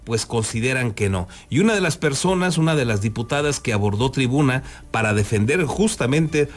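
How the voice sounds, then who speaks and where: A man with a Mexican accent hosting a news program, giving unscripted commentary in a halting, emphatic way. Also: mid-range voice, expressive. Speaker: news host.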